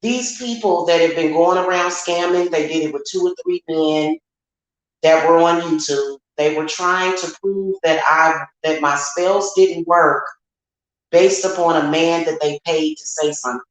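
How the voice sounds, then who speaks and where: A woman's voice: on the low side, a little slow. An American woman speaking off the cuff to her viewers in a candid, emphatic, colloquial way, repeating her points. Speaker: online witch and spiritual reader. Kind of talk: unscripted vlog monologue to viewers. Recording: very echoey, reverberant room.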